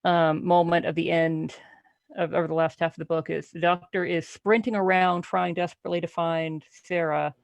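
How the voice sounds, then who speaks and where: Woman on a book podcast, gravelly fry in her voice, dry humor, close dry sound. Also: fairly low pitch, little pitch movement, moderate pace, dry recording. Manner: dry, wry, hesitant phrasing. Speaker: podcast panelist. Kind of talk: casual book discussion.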